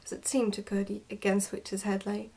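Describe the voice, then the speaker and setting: Soft breathy woman doing asmr book reading, lots of whispering, gentle and careful. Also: medium pitch, monotone, fairly quick. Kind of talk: soft ASMR storybook narration.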